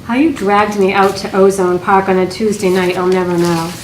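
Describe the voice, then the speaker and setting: A woman's voice: mid-range voice, fairly even intonation, moderate pace, a little mellow, natural voice. A woman reading the part of a weary, reproachful 1950s working wife in a stage play table read. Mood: irritated. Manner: dry, reproachful. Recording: echoey room, faint noise.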